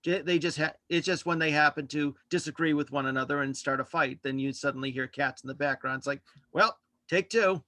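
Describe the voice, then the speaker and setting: A man talking casually as a podcast host being interviewed in a relaxed conversational exchange. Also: on the high side, brisk, no room sound. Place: indoors at home, on a video call.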